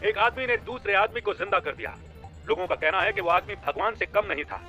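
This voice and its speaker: Man acting dramatic high-pitched female news anchor. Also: lively intonation, a little fast, pushed and bright, dull audio, faint music behind, heavily acted. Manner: dramatic, heightened. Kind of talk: sensational news report delivery.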